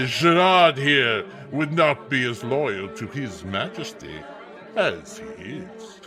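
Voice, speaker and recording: An actor playing a jovial, pompous middle-aged aristocrat in a period audio drama, with a declamatory manner. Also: male voice, mid-range voice, very animated, extremely slow. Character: pompous, jovial aristocratic father, French royalist marquis. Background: music.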